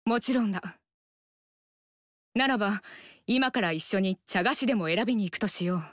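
A woman's voice: medium pitch, moderate pace, no echo, studio quality, lightly acted. An actress voicing a stern, earnest young woman warrior in a video game, speaking in a formal, stiff manner. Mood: stiff sincere. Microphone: studio mic, dry.

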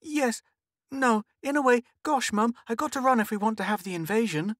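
A narrator voicing a bossy, excitable young girl in a story, impatient and earnest. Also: medium pitch, normal speed, dry recording, clean studio sound, voice pushed up. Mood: earnest, excited.

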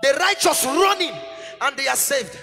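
A man's voice: very high-pitched, animated, normal speed, gravelly. A young Nigerian-accented man leading worship on stage, exhorting in a fervent, urgent, repetitive way into a handheld microphone. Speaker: gospel minister leading worship. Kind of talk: fervent church exhortation over music. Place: large church auditorium stage. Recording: live stage sound with loud music. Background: loud worship music.

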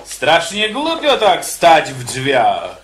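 Lively, slow-talking condemned killer. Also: male voice, a little high, exaggerated voice. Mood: chatty, cheeky. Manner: chatty, wry, casual about death. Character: cocky, cheerful condemned male prisoner, a killer. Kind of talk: radio play acting.

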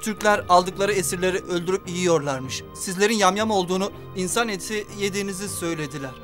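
Scared young prisoner lieutenant, hesitant, bright high voice, deferential, cartoon war drama. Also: male voice, quick pace, soft background music. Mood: nervous, fearful. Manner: hesitant, stammering. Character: frightened young captured British lieutenant, nervous and deferential.

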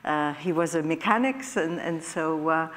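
A woman's voice: low-pitched, a bit bright. A woman in her sixties with a Quebec French accent, French her first language, speaking on a discussion panel, full of hesitations and restarts. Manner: halting, many hesitations and restarts. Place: stage in an event hall. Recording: hall reverb.

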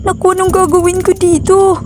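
A woman's voice: very high voice, little pitch movement, brisk, over music. A woman playing a worried, loving village mother in a cartoon story, scolding her lazy son and then pleading for him. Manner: scolding then tender, fussing.

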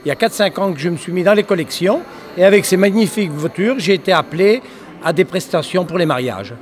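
An older man who rents out vintage cars, explaining his service slowly into a handheld mic at a fair. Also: sits high, lively intonation. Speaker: vintage car rental owner. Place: indoor exhibition hall.

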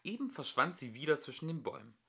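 A man reads a children's story aloud as an amateur narrator. His voice is bright and forward, and his pitch moves in a lively, expressive way through the sentences. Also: fast talker, creaky, breathy edge. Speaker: amateur audiobook reader.

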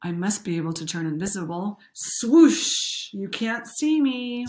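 A woman voicing an excited young boy who imagines he is a superhero, eager and boastful, in a storybook reading. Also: medium pitch, measured pace. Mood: determined.

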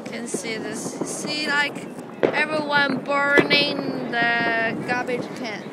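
High-voiced young woman vlogging on a noisy street. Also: steady tone, slow pace. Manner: chatty, giggly, non-native English with fillers. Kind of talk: casual handheld vlog chatter. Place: outdoors on a city street at night during fireworks. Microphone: handheld camera. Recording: loud street noise, fireworks bangs. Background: fireworks, traffic and street noise.

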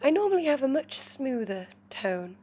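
A woman's voice: high-pitched, very animated, moderate pace, warm tone, slightly rough. A British woman in her twenties with a nasal, cold-congested voice, giving a chatty, gushing vlog voiceover. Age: 20s. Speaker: vlogger. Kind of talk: casual voiceover narration.

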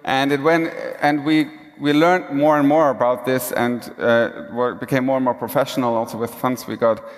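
A man's voice: medium pitch, fairly even intonation, normal speed. A man giving a casual keynote talk on a conference stage, with frequent restarts. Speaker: keynote speaker. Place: large conference hall stage. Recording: reverberant hall.

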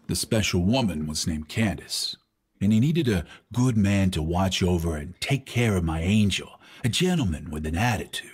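An actor playing a gruff, weary older hired driver who narrates tersely in film noir style. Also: male voice, low-pitched. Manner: hard-boiled, terse, profane. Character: gruff, weary old hired driver, world-worn tough guy.

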